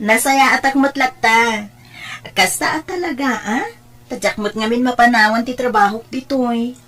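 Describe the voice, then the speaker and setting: A woman's voice: on the high side, unhurried, pauses often, a bit bright. A woman playing a friendly, sweet young girlfriend in an Ilocano drama, chatty and with an Ilocano accent. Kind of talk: Ilocano radio-style family drama acting.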